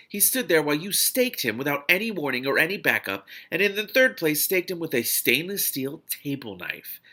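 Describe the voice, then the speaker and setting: Blunt male field agent for an audiobook, read by a man: bright forceful edge, creaky, slangy and emphatic recruiter. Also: on the high side, voice pushed up, exaggerated voice. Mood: incredulous, emphatic.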